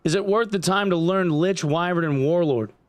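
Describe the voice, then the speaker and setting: A man in his forties chats with his livestream audience while gaming, rambling and swearing freely with plenty of "like" and "dude". His voice sits a little high for a man. He sounds close and dry, with almost no room around him. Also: normal speed, no echo. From upstate New York. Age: 40s. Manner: loose, profane, rambling, heavy on "like" and "dude". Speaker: gaming streamer.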